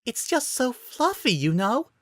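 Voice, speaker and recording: A man voicing an excitable, sweet young princess who gushes girlishly in a dramatic story reading. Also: extremely high pitch, a little slow, dry recording, studio quality, pitched above the performer's own voice, heavily acted. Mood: gushing, excited.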